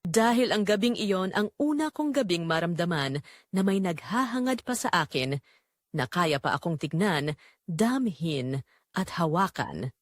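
A woman's voice: lowish voice, expressive, unhurried, no room sound. A woman acting as a Filipina wife and mother bares her guilty secret in a confessional audio drama. Her intonation rises and falls freely in an intimate, dramatic delivery. The sound is dry and close, with a Filipino accent. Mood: guilty, yearning. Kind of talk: first-person confessional audio drama narration in Tagalog.

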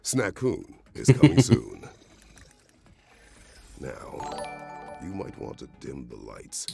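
A man's voice: extremely low pitch, wildly swooping pitch, slow, gravelly, warm tone, full character voice. A man reading scripted promotional narration for a video game showcase, with music under his voice. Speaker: showcase presenter.

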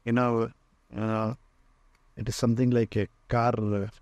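Indian guy showing round his collection, soft dark tone, really slow with lots of pauses, dry sound. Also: medium pitch, flat delivery, no echo, lo-fi sound. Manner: halting, pausing often mid-phrase. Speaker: museum owner and radio restorer giving a tour. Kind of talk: informal narrated walk-through tour. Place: indoor museum and workshop room full of shelves.

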